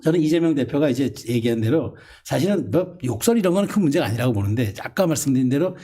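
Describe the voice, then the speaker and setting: A man holding forth as a political commentator at a rapid clip, his voice swinging up and down with lively intonation. He jumps back into sentences mid-thought as he builds his argument on a talk panel. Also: mid-range voice, slightly rough. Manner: animated, quick, restarts mid-sentence.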